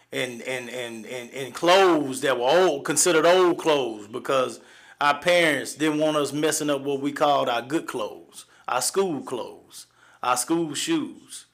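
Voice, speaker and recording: A man in his forties with a Southern accent, hosting a live show and telling stories from his childhood in a halting, folksy way, with many fillers and restarts. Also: a little high, a bit bright. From East Texas, USA. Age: 40s. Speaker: livestream host. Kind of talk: personal storytelling on a live show.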